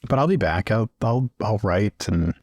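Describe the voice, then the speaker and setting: A man plays an adventurous scholar in a fantasy roleplay, low and husky. He is understated and halting, keeping things to himself, recorded close and dry with music underneath. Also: animated, a little mellow, dry recording. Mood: evasive. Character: James, restless adventurous young scholar, reticent about leaving. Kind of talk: improvised in-character roleplay dialogue.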